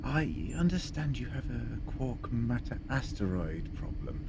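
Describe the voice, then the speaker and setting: Man acting a gravelly, hesitant gentleman scientist. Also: mid-range voice, very animated, a little slow. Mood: polite, tentative. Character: polite, hesitant, eccentric male time-travelling scientist. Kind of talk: audio drama acting. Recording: noisy vehicle-like ambience behind.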